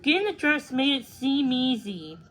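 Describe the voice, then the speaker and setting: A woman's voice: high-pitched, big pitch swings, normal speed, a bit bright, pitched above the performer's own voice, rough recording. A woman voicing an anxious young schoolgirl from a children's storybook, worried and exclaiming. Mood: worried, fretful.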